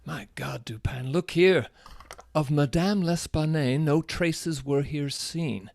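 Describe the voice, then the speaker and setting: An actor playing an astonished gentleman, a detective's companion, in a radio drama with a theatrical, emphatic delivery. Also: fairly high pitch, slow pace, creaky. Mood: horrified. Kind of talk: live radio drama acting.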